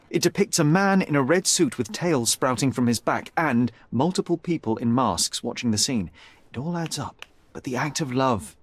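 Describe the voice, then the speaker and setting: An actor playing a young detective in a video game, analytical, cool and polite. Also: male voice, medium pitch, close to an everyday voice. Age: young adult. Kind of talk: video game cutscene acting.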